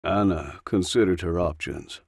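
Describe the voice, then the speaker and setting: Male audiobook reader for scripted fiction narration, lowish gravelly voice, very dry recording. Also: measured pace, no room sound.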